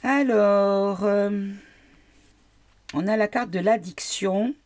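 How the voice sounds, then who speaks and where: A woman's voice: medium pitch, slow pace, a little mellow. A woman with a cold, reading tarot cards for her viewers in a casual, hesitant way, often asking the cards questions aloud. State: has a cold. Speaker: tarot card reader.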